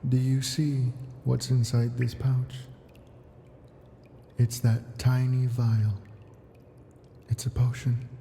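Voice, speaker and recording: A man's voice: mid-range voice, little pitch movement, takes it slowly, vocal fry, pauses often, mellow, a little hiss or hum. A man voicing an ancient, possessive dragon captor in an ASMR fantasy roleplay, coaxing and imperious in formal storybook words. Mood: patient, persuasive.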